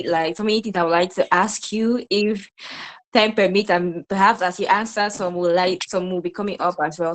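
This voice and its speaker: A woman's voice: on the low side, rough recording. A woman, a program participant on a video call, hesitantly searching for words as she asks a question. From Cameroon.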